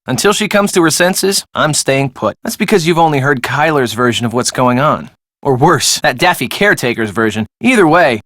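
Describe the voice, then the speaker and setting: A man's voice: on the high side, fairly bright tone, dry recording, studio quality. An actor playing a defensive, glib young American man in casual, conversational video game dialogue.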